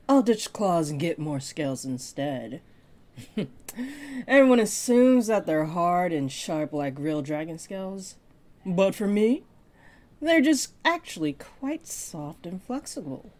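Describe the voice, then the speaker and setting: A woman's voice: lowish voice, a little mellow. A woman voicing a flirty, possessive half-dragon librarian in a roleplay audio, speaking in a coy, playful drawl. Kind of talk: roleplay audio acting addressed to the listener.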